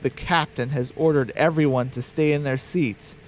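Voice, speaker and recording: A man's voice: mid-range voice, steady tone, moderate pace, slight background noise, poor audio. A man narrating a scripted comedy radio drama as its storyteller.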